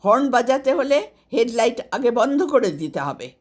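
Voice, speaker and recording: A woman's voice: on the high side, very animated, normal speed, a little mellow, pitched above the performer's own voice. A woman voicing an agitated, scolding middle-aged uncle in a story, insistent and exclamatory.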